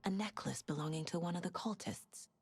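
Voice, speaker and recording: An actress playing an intense, probing investigator who thinks through a murder case in brooding, deliberate questions. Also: female voice, fairly low pitch, noisy background, close to an everyday voice. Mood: focused, suspicious. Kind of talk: scripted inner-monologue narration.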